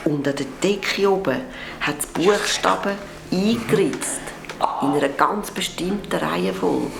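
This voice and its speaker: A woman with a Swiss German accent playing a secretive informant who gives directions in a hushed, conspiratorial whisper in a children's radio play. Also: fairly low pitch, a little slow, gravelly, reverberant, noisy background. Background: music.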